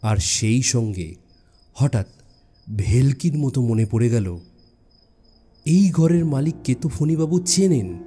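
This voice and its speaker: A man narrates a scripted suspense story over faint background music. His voice is mid-pitched and warm rather than bright. He delivers it dramatically and deliberately, pausing between phrases. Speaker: audiobook narrator. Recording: music bed behind the voice.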